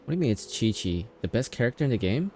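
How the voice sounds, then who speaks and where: A man's voice: mid-range voice, very animated, very fast, a little mellow, slight background noise. A man streaming a game, giving casual live commentary and reacting as he plays. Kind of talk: casual live gameplay commentary, reading along.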